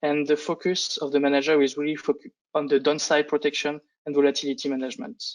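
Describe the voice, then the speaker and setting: A man who speaks English as a second language gives a business presentation on a conference call, with halting delivery and frequent false starts. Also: fairly high pitch, little pitch movement, poor audio. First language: not English. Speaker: fund analyst presenting on a panel.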